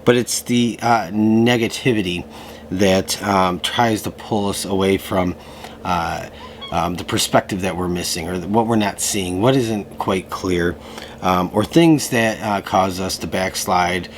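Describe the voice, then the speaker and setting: A man giving a casual tarot reading to camera, slightly hoarse from a lingering cough, talking in a loose way with many fillers. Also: low voice, unhurried, slight background noise. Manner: relaxed, loose, full of fillers and restarts. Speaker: tarot reader. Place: room at home.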